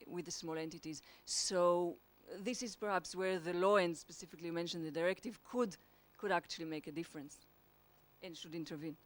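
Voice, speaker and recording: A woman giving a brisk, assertive reply in a conference panel discussion. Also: lowish voice.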